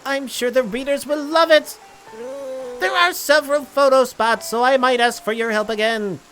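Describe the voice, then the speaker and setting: A woman's voice: sits high, moderate pace, fairly bright tone, soft background music, voice pushed up, poor audio. A woman voicing an eager, curious lover of sea life in a video game, bubbly and enthusiastic. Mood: excited.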